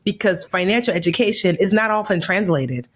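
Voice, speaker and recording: A woman co-hosting a podcast, chatting casually and telling animated stories. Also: mid-range voice, fairly quick, slight background noise. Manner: animated storyteller, acts out quoted voices. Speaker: podcast co-host. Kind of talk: casual chat.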